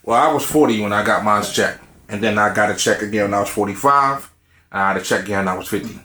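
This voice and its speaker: Low-voiced man in his fifties. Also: fast, sharp tone. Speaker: discussion participant. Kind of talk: candid group discussion. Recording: roomy echo.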